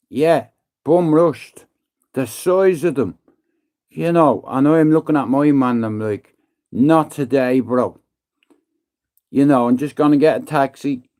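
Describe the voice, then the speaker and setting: A man, living in Manchester, telling a rambling, profane story on a livestream and acting out his own lines to others. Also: medium pitch. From Ireland. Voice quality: profane, slangy, calls everyone bro. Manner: animated, acts out his own threats and taunts in hushed voice. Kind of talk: casual storytelling monologue.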